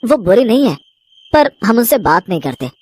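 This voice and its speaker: A woman's voice: a little high, big pitch swings, normal speed, darkish tone, breathy edge, dry recording. A woman voicing a frustrated 25-year-old son in a cartoon story, pleading and exasperated. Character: young man of 25, frustrated son.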